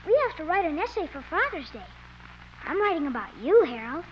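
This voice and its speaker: A woman's voice: very high voice, sing-song, fairly quick. A woman playing a polite, innocent, earnest little boy in 1950s radio comedy style. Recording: very noisy muffled 1950s radio recording.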